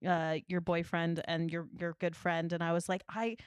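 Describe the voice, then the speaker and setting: A woman's voice: lowish voice, little pitch movement, clear, resonant tone, no echo. Female podcast co-host, giggly and teasing, dry close sound. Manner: giggly, playful, quick to tease. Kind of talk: casual chat.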